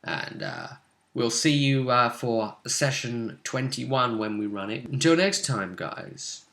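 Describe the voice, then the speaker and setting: A man casually talking through a tabletop role-playing game as game master, often pausing and thinking aloud in broken phrases. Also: medium pitch, sing-song, vocal fry, fairly bright tone. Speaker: game master, host of a role-play vidcast. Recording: roomy, echoing room sound.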